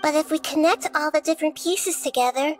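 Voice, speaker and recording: Game role, for an actress: wise, composed little goddess, soft girlish high voice, measured storybook delivery, music underneath. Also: close to an everyday voice. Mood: calm, thoughtful. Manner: measured, thoughtful, articulate. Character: small childlike female deity, gentle, wise, composed. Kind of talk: video game dialogue and fairy-tale narration.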